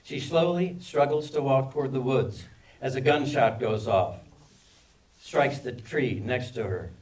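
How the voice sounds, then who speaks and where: A man's voice: mid-range voice, measured pace, a little mellow. A man reading screenplay stage directions as scripted narration at a live table read. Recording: distant microphone at live reading.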